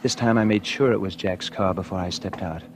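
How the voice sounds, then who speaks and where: Low-voiced scheming radio-thriller murderer. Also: male voice, slight background noise. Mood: tense, calculating. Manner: confessional, deliberate narration. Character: scheming, nervous male murderer narrating his crime, outwardly genial. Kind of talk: 1940s radio suspense acting. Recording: vintage radio drama with music underneath.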